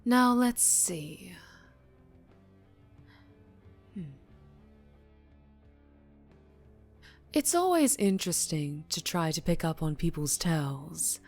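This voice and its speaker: A woman playing a dangerously charming, teasing mafia boss in an ASMR roleplay, speaking deliberately and politely with veiled menace. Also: lowish voice, animated, darkish tone, faint music behind. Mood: teasing, probing.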